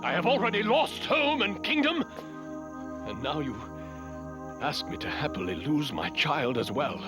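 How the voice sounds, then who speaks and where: Stern, bitter, high-pitched exiled king. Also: very animated, a little slow, music in the background. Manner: imperious, theatrical. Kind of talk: animated adventure drama acting.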